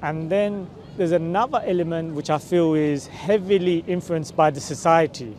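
A man's voice: on the high side, moderate pace, darkish tone, slight background noise. A man, talking outdoors in a busy city square and pressing his questions with persuasive, repeated checks like "right?". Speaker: street preacher. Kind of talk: street conversation. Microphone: camera mic from a few meters.

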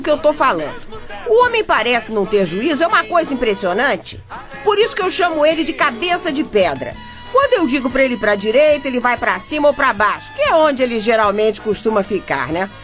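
A woman's voice: a little high, a bit bright. A woman playing an exasperated, sarcastic Brazilian wife who narrates a radio drama in a chatty, mocking way.

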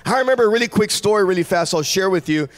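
Fervent preaching man, high and lively. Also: racing. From United States. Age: 40s. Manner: loud, fervent preaching with sudden shouts. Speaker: pastor. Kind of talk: sermon. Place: large church stage with congregation. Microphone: handheld mic.

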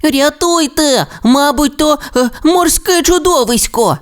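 Give me a timid little dinosaur boy from a children's storybook, put on by the narrator, high and squeaky, his voice swinging up and down as he frets. He sounds small, nervous and shaky. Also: expressive, normal speed, smooth, close to an everyday voice. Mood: scared. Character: timid little male dinosaur, easily frightened.

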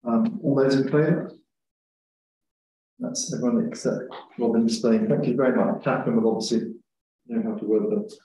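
A man chairing a town council planning committee meeting, calling votes and moving through items briskly. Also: medium pitch, dark tone, a little hiss or hum. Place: large meeting room. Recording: echoey room with faint ambience.

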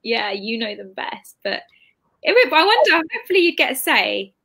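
A woman's voice: high-pitched, very animated, moderate pace, gravelly, pushed and bright. A British woman in her twenties hosting a casual live interview over a video call, chatty and informal. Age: 20s. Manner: chatty, informal, full of hedging asides. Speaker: interviewer, bookshop host. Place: indoor room, video call. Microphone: computer mic on video call.

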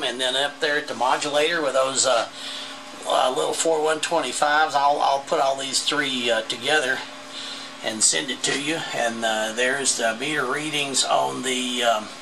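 A man talking casually as an amateur radio operator, halting as he thinks aloud while filming in his radio room. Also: mid-range voice, creaky. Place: indoor home radio room. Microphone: handheld camera mic. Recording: noisy handheld camera recording.

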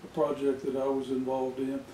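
A man's voice: medium pitch, monotone, moderate pace, dark tone, a little hiss or hum. A man in his sixties telling a local story in a casual interview in an office. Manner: chatty storyteller. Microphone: far camera. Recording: echoey office from far off.

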